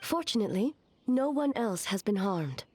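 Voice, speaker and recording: A woman playing a stately, solemn ruler in a video game, speaking formally with measured, composed authority. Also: mid-range voice, very animated, background noise, lightly acted. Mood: grave, composed. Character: stately, solemn female ruler, immortal deity in human form.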